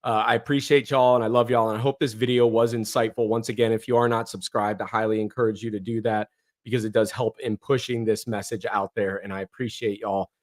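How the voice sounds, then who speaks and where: A hoarse man from northeastern Ohio hosting a casual livestream, reading viewer comments in a rambling way with many fillers and restarts. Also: lowish voice, level tone, a little fast. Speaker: livestream host.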